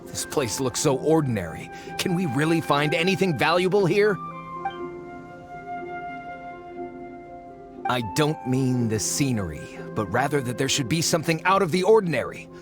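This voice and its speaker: An actor playing a scheming foreign archaeologist who speaks politely and persuasively, in a game cutscene. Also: male voice, on the high side, very animated, brisk, few pauses. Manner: polite, persuasive, slyly eager. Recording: game audio with music.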